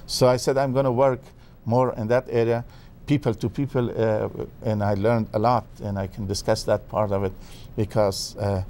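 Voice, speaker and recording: A man from Afghanistan, a guest expert in a TV interview, speaking haltingly with many fillers and restarts. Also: lowish voice, level tone, darkish tone, slight background noise.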